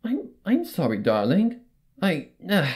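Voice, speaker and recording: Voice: medium pitch, huge pitch swings, a little slow, darkish tone, breathy edge, pitched above the performer's own voice, exaggerated voice. A narrator voicing a refined, overly dramatic female pony seamstress with a gushing, genteel manner in a fan fiction reading. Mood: dazed, dismissive.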